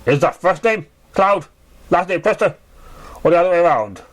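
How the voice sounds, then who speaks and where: A man's voice: high-pitched, lively intonation, moderate pace, a little hiss or hum, pitched above the performer's own voice. A man voicing a tired, curt old female unicorn clerk with a New York accent in a story reading. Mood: brusque.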